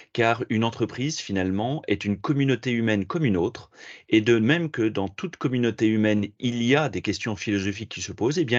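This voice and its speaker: A middle-aged French man being interviewed as a philosopher, talking in a measured, reflective way with frequent hesitations. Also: lowish voice, no echo, lo-fi sound. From France. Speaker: podcast guest, philosopher and management consultant. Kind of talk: interview answers.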